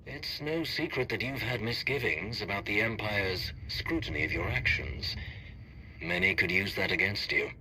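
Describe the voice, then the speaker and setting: A man playing a measured, diplomatic Sith official giving grave counsel in a scripted video game. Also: lowish voice, sharp tone, slight background noise, low-quality recording. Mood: guarded concern.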